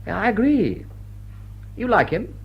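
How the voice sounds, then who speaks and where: An actor playing a smitten English aristocrat in a radio play, with an upper-class accent and an urbane, drily witty, tender manner. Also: male voice, high-pitched, very animated, a little slow, vocal fry, slightly rough, dull audio, heavily acted. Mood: light, probing. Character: smitten, wry English aristocrat lord wooing a woman. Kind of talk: British radio play acting.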